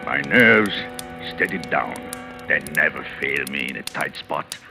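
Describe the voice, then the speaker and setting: An actor playing a smug, slippery swindler with a suave, sardonic, theatrical manner in an old-time radio drama. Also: male voice, extremely low pitch, lively intonation, unhurried, full character voice. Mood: smug narrating. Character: smug, slippery male swindler, glib and evasive. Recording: vintage radio recording with faint music.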